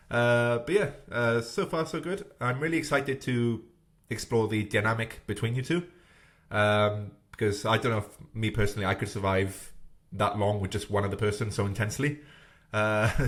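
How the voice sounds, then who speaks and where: A man in his thirties hosting a podcast interview, talking in a thoughtful, hesitant way with many pauses and fillers. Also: on the low side. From Wales. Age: 30s. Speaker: podcast host.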